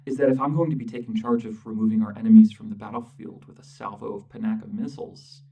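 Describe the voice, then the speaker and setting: Casting: condescending AI war-mech captain performed by an ASMR actor, mid-pitched, gravelly fry under a breathy near-whisper, bossy and clipped. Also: steady tone, warm tone, distant mic, natural voice. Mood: grudging, superior. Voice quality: breathy, often dropping to a whisper. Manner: condescending, clipped, commanding, with deliberate pauses. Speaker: audio roleplay performer. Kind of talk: ASMR roleplay, soft close-up monologue.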